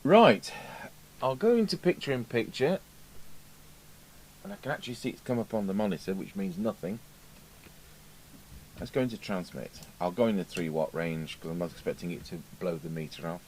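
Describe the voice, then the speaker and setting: A middle-aged British man giving an informal, unscripted hands-on demonstration, musing aloud with hesitant pauses. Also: low-pitched, big pitch swings, darkish tone. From United Kingdom. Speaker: radio repair technician. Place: indoor radio repair workbench. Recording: clearly noisy home workshop recording.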